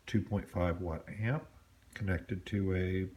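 A man with a lowish voice talks through his workbench project in an easygoing, explanatory way. He pauses now and then with a small "uh" or "um". It sounds like an off-camera camera mic at a home hobby bench. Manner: relaxed, explanatory, with small fillers. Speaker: model railroad hobbyist vlogger. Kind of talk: casual show-and-tell narration.

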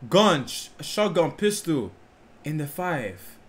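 A man's voice: a little high, big pitch swings, takes it slowly. A young adult man hosting a reaction video, animatedly exclaiming and puzzling aloud over rap lyrics, recorded in a small bedroom. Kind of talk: excited reaction commentary. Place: small bedroom lit pink and red, indoors. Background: faint rap track playing.

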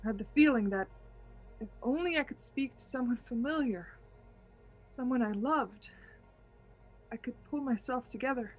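A woman's voice: on the high side, moderate pace, long pauses, husky, rough recording. An actress playing a haunted young woman traveller in a suspense radio play, with a theatrical, brooding and fraught manner. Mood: desperate, haunted. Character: Ronnie Adams, haunted young woman traveller narrating her ordeal. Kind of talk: suspense radio play acting, dramatic monologue. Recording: music bed under home-recorded radio play.

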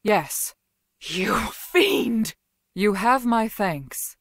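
A woman's voice: mid-range voice, animated, extremely slow, no echo, clean studio sound. A woman playing a proud, stern warrior commander of wind and storms in a video game, with imperious, clipped, theatrical delivery. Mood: cold, resolute. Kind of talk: video game character voice lines.

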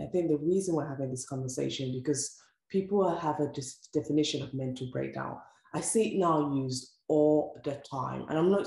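A low, gravelly-voiced woman hosts an interview with effusive energy and piles up rhetorical questions. The room around her is echoey, and faint music plays behind her. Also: warm tone. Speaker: host. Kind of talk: enthusiastic interview hosting. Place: room with sofa, indoors.

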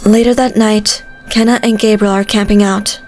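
A woman's voice: medium pitch, flat delivery, moderate pace, a little mellow, faint music behind. A woman reading scripted story narration as a narrator.

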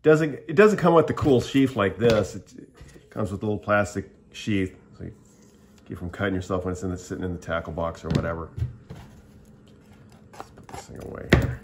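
A man's voice: low voice, a little fast, pauses often, slight background noise. A middle-aged man giving a casual, rambling show-and-tell review at a table, plainspoken and often restarting his sentences. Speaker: product reviewer. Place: indoors at a table, filming the tabletop.

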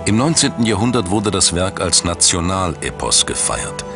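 A man narrates a scripted documentary in a low, deep voice. His delivery is measured and dramatic, like formal storytelling, with music running clearly underneath. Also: very animated. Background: background music.

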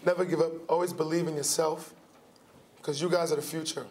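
A man, a football player, talking in an upbeat, relaxed way in an outdoor interview. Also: on the high side, brisk.

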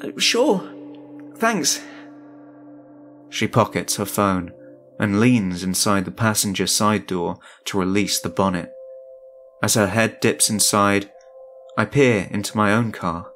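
Low-voiced man narrating a horror story. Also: steady tone, measured pace, a bit bright. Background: music.

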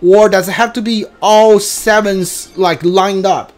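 Male streamer, high voice, casual and hesitant delivery, circles back over his words while chatting to viewers. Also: steady tone. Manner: hesitant, halting, repeats himself. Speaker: game streamer. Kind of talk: casual live gameplay stream chat.

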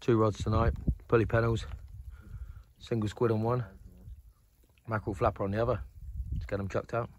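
A man in his thirties talking casually about a fishing trip, upbeat and quick to cheer when a fish comes in, recorded on a camera mic. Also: lowish voice, noisy background. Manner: upbeat, excitable when fish are caught. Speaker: fishing vlogger. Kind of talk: casual vlog narration. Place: outdoors on rocky coast and indoors in a rented room.

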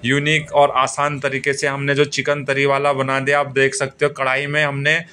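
A man's voice: medium pitch, completely flat delivery, moderate pace, bright, forceful tone, slight background noise. A man hosting a home cooking video, talking over the footage and eagerly urging viewers to watch as he cooks. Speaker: cooking channel host.

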